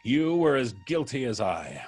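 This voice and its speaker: A vengeful ex-convict from an old radio thriller, savoring every threat in slow, florid phrases. His delivery swings widely between soft gloating and theatrical menace, with music faintly underneath. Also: medium pitch, very animated, husky. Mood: vengeful, menacing. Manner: theatrical, drawn-out, florid diction. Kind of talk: old-time radio drama acting.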